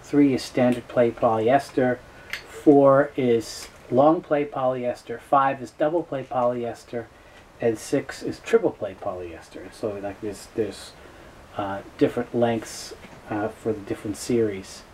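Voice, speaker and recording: A man with a soft-edged, mellow voice at a middle pitch talks through an informal product overview. He is knowledgeable and matter-of-fact, feeling his way haltingly through unscripted explanations. Faint noise sits in the recording. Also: low-quality recording. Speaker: tape dealer presenting a product overview.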